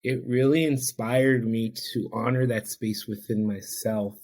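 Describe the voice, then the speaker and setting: A man whose first language is Spanish talks as a guest on a podcast about his own past. He is an addiction counselor, and he speaks in an earnest, reflective, heartfelt way. His voice sits at an ordinary middle pitch for a man. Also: steady tone, measured pace, a little mellow. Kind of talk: podcast interview, personal storytelling.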